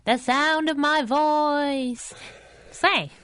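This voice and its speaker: An actress playing a brash, wisecracking young superhero sidekick in a 1930s-style radio drama. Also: female voice, high voice, drawn-out words, a bit bright, no room sound, studio quality, full character voice. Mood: teasing. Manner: wisecracking, playful.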